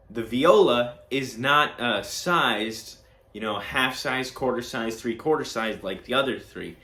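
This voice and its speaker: A young man hosting a small show, reading segment intros and interview questions in a halting way with many fillers. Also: mid-range voice, pushed and bright. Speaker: host. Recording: roomy sound with music underneath.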